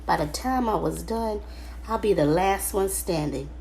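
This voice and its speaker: A woman reading a children's book aloud in first person, halting now and then over the words. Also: mid-range voice, vocal fry, a little mellow, slight background noise, rough recording. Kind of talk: storybook read-aloud narration, first person.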